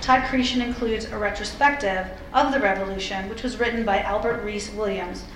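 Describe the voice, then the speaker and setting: Female lecturer, mid-pitched and measured, reading prepared material articulately; roomy echo, faint noise behind. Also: steady tone, a bit bright. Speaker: lecturer presenting a historical talk. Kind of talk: scripted history lecture, reading quotations.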